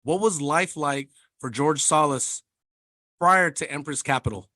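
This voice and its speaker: A man co-hosting a podcast, talking in an energetic, casual way full of "man" and "like". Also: a little high, measured pace, no echo. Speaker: podcast co-host. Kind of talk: casual interview chat.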